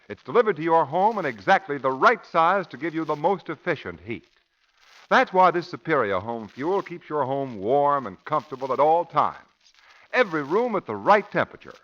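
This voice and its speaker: A man's voice: fairly high pitch, fairly bright tone. A man with an American accent announcing a 1940s radio commercial in a polished, emphatic sales style on a muffled old recording.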